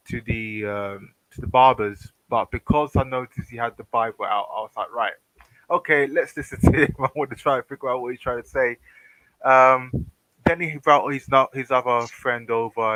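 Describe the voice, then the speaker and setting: British man telling a halting story. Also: medium pitch, fairly even intonation, fairly bright tone, no room sound, rough recording. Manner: hesitant, many ums and restarts. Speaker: guest on a Discord hangout. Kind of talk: casual storytelling on a voice call. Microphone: computer mic on a voice call, no webcam.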